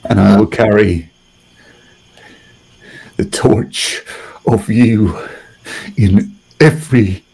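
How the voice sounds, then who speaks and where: Man playing a gravelly, solemn grieving adventurer. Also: on the low side, fairly even intonation, slow pace, long pauses, a little mellow, full character voice, poor audio. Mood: solemn grief. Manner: solemn, impassioned. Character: loyal, grieving male adventurer vowing to a brother. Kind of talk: improvised tabletop roleplay drama.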